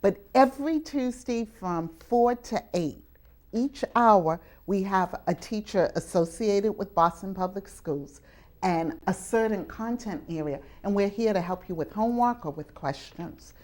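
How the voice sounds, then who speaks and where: A woman hosting a live educational television show, speaking deliberately with drawn-out emphasis on words. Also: mid-range voice, a little mellow. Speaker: television show host and teacher. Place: live television taping at a community college.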